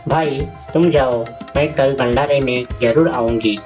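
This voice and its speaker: A man's voice: medium pitch, measured pace, darkish tone, pitched above the performer's own voice, lightly acted. A man voicing a poor, proud, hardworking village mother in a cartoon moral story, plain-spoken and dignified. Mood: calm. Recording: distant voice over faint music.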